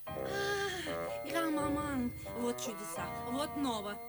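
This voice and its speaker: High, gossipy society countess. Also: female voice, huge pitch swings, slow, loud music behind the voice, heavily acted. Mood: excited gossip. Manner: affected, exclaiming. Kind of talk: classic verse comedy radio play acting.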